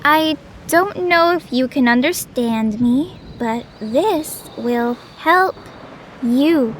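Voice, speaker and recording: A woman's voice: sits high, expressive, slow. A woman playing a young cave lioness huntress in an audio drama, plain-spoken and matter-of-fact. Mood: calm, reassuring. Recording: faint background noise and ambience.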